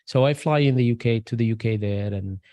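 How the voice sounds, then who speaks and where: A middle-aged man who grew up between Algeria and France, a guest in an interview, speaking English haltingly with many fillers and restarts. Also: mid-range voice, dark tone, dry recording. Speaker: guest, aerospace engineer. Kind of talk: casual interview talk.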